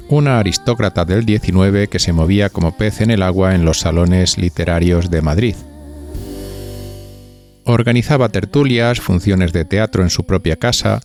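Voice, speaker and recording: A man's voice: deep voice, darkish tone. A man with an accent from Spain hosting a podcast, narrating in a chatty, scripted gossip style with a playful, confiding manner that speaks straight to listeners. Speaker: podcast host.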